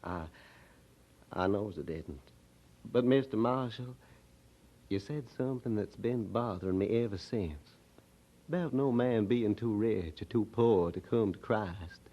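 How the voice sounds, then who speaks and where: An actor playing a humble, ashamed poor country drunkard with a rural Southern American accent, halting and folksy, in a radio drama. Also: male voice, lowish voice. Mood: humbled, troubled. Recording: dull old radio drama recording.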